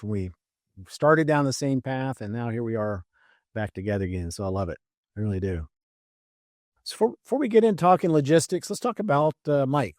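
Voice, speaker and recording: A man's voice: mid-range voice, a little mellow, no room sound, studio quality. A man in his fifties hosting a podcast, introducing his guest in a friendly, filler-heavy way. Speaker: podcast host. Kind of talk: casual interview introduction.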